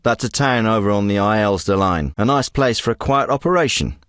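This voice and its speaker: A man's voice: lowish voice, expressive, a little fast, a bit bright, no echo. A man voicing a dry-witted special forces officer in a video game, giving orders and briefings in clipped military radio talk.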